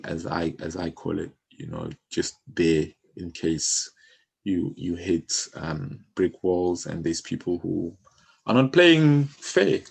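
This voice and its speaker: A man with a South African accent talks in a casual interview. His voice sits low, with a fry to it, and he speaks informally, working out his thoughts as he goes. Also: darkish tone. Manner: informal, thinking aloud. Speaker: music publisher, interview guest.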